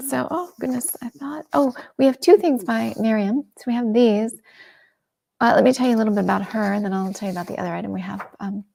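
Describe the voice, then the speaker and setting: A middle-aged woman hosting a shopping livestream, chatting enthusiastically and dropping into soft asides. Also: on the high side, fast, gravelly. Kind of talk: casual product presentation.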